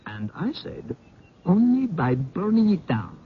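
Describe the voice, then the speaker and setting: An actor playing a courteous, knowledgeable doctor in a radio drama, speaking formally and precisely with theatrical poise. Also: male voice, high voice, sing-song, unhurried, breathy edge, heavily acted. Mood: assured, explanatory. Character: knowledgeable, courteous male doctor explaining calmly. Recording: tinny, crackly old radio broadcast.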